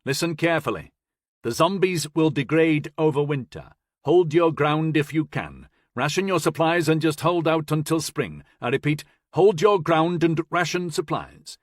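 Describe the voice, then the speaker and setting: A man's voice: sits high, fairly quick, few pauses, fairly bright tone, no room sound, clean studio sound, voice pushed up. A man voicing a curt, commanding man giving firm, clipped orders over a radio.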